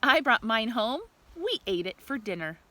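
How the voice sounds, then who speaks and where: A woman's voice: fairly high pitch, sing-song, moderate pace, pushed and bright. A woman reading a children's picture book aloud outdoors like a teacher, adding chatty asides and questions for young listeners. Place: outdoors in a communal space by a river. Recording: noisy outdoor recording.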